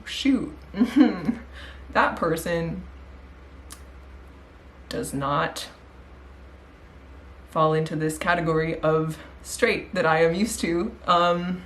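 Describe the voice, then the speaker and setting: Animated teen girl telling a story. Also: lowish voice, a little hiss or hum. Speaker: interviewee. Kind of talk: casual personal account.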